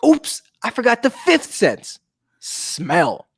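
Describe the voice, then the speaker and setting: A man's voice: extremely high pitch, huge pitch swings, takes it slowly, fairly bright tone, slightly rough, voice pushed up, exaggerated voice. A man voicing an eager, bossy young boy in a children's storybook, insistent and full of announcements.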